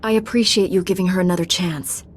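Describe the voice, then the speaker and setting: A woman's voice: fairly low pitch, fast, slight background noise, close to an everyday voice. A woman acting a composed, dutiful Jedi Knight and mentor in a video game, speaking earnestly and formally.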